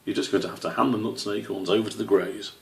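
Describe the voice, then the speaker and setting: A man's voice: on the low side, fast, creaky, echoey room, far from the mic. A man voicing a plain-spoken, matter-of-fact young male badger in a storybook. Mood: dismissive.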